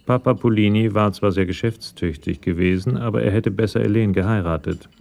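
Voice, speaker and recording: A man narrating a scripted crime radio play in a measured, matter-of-fact storytelling manner. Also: lowish voice, creaky, a little mellow.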